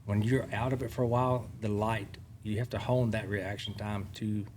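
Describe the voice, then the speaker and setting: A man's voice: fairly low pitch, fairly even intonation, normal speed, a little mellow, slight background noise. A man in his fifties, a guest on a podcast, giving plainspoken advice in casual chat. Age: 50s. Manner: plainspoken, gives advice, short agreeing replies.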